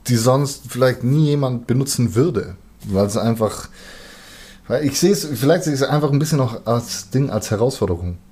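A man's voice: medium pitch, animated, moderate pace, mellow, breathy edge. A man talking casually in colloquial language as a musician, often hesitating while he searches for words. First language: German. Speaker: musician, rapper. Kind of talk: casual conversation.

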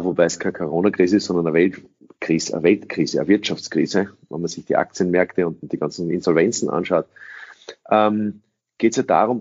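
A man's voice: sits low, a little mellow, husky. A middle-aged man, an English speaker, answering interview questions as a sales trainer in an emphatic way, repeating phrases and asking rhetorical questions. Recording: dull lo-fi recording.

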